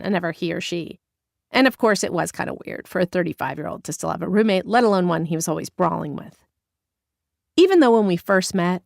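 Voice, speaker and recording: A woman from Northern California narrating her own memoir as an audiobook, candid and expressive, on a close studio mic. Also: lowish voice, vocal fry, dry recording. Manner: expressive, candid first-person storytelling.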